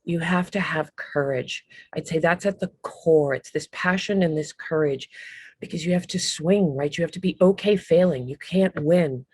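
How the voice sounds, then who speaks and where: A middle-aged woman from Ireland, a guest telling her career story in a casual, animated way on a video call. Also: low voice, moderate pace, a little hiss or hum. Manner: lively, animated storyteller with frequent ums and restarts. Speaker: guest, business executive. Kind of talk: casual interview talk.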